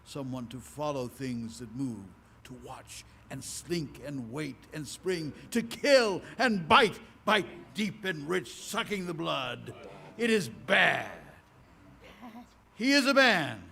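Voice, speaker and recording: A man's voice: a little high, lively intonation, vocal fry, bright, forceful tone, heavily acted. A man playing a solemn, commanding beast-man lawgiver, declaiming ritual words in a live radio drama. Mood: solemn, menacing. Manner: slow ritual chanting, grandiose, declamatory. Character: solemn, commanding beast-man priest who chants the law. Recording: live hall recording, faint noise.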